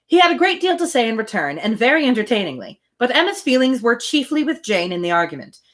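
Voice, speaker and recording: A woman reading a classic novel aloud as host of a reading series, expressive and breaking into casual asides. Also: on the high side, fast. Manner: expressive, animated storytelling. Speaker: reader hosting a read-aloud series.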